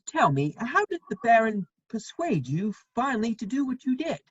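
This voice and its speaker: Woman playing a wry gentleman, high husky voice. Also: sing-song, moderate pace, no room sound, highs cut off. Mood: disapproving, probing. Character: worldly, witty gentleman friend, wry and steadfast. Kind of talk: dramatic reading of a stage play.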